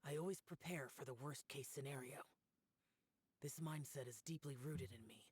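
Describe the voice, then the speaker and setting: An actor playing a solemn, youthful-sounding ancient warrior spirit in a video game, grave, measured and reserved. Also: male voice, medium pitch, a little mellow, husky, no room sound, lightly acted. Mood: grave, reflective. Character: solemn ancient yaksha warrior, youthful male, brooding and stoic.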